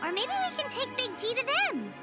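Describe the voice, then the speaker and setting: A woman voicing a small, chatty, childlike fairy companion with a squeaky, perky voice in an anime game. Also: huge pitch swings, normal speed, full character voice. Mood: curious. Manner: perky, blurting. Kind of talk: anime video game voice acting. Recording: loud music behind.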